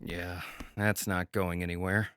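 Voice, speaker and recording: A man playing an excitable, sardonic twenty-eight-year-old male gamer in an audio drama. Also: low-pitched, unhurried, clean tone, no room sound, clean studio sound. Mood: muttering, impatient.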